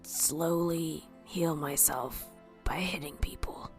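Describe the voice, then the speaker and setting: A woman's voice: low voice, steady tone, slow pace, faint music behind. A woman chatting casually as a player in a tabletop roleplaying game. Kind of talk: casual chat.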